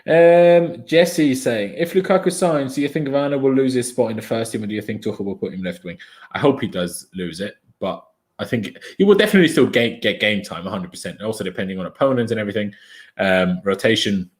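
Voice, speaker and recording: A man's voice: fairly low pitch, animated, fairly quick. A young man from Austria whose first language is German talks through a football match live for his stream. He is chatty and opinionated, calls the play, and reads viewer comments aloud as they arrive. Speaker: fan watchalong streamer. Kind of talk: casual live match commentary and chat reading.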